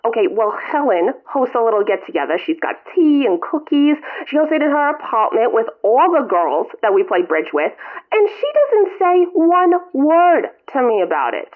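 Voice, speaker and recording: Woman playing a whiny guilt-trip old mom, high and swoopy, phone sound. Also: sing-song, normal speed. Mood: nagging. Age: over 60. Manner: melodramatic, whiny, self-pitying. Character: guilt-tripping elderly mother in a retirement home. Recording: tinny phone line.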